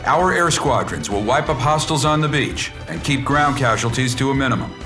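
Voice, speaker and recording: An actor playing a resolute military commander who delivers a measured, authoritative mission briefing in a video game. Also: male voice, medium pitch, over music, lightly acted. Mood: composed, resolute.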